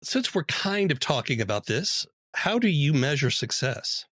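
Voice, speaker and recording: A man's voice: a little high, sing-song, a little slow, a bit bright, dry recording, clean studio sound. A man hosting an interview podcast, asking questions and giving brief, encouraging prompts.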